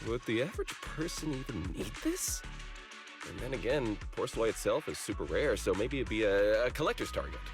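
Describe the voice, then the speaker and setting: Animated, inquisitive man. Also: on the low side, brisk, music in the background. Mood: surprised, skeptical. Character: inquisitive, animated man appraising rare goods. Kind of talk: casual game cutscene dialogue.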